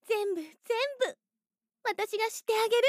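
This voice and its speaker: A very high, girlish voice for an anime-style audio drama. She is a possessive young captor, madly in love and sweetly menacing. Her devoted lines come breathy and drawn out, with sudden swells. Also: moderate pace.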